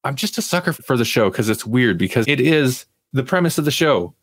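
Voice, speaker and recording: A man talks casually as a podcast co-host reviewing television. He rambles through opinions, often qualifying them with asides. His voice sits at a middling pitch and is recorded very dry, with little room sound. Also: very animated, a little fast, husky, no room sound. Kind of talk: casual chat.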